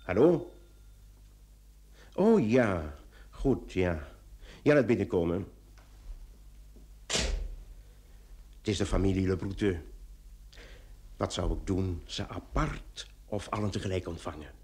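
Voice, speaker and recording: An actor playing a brisk, authoritative police commissioner in a radio play, with clipped questioning. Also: male voice, deep voice, animated, darkish tone. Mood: brisk, obliging.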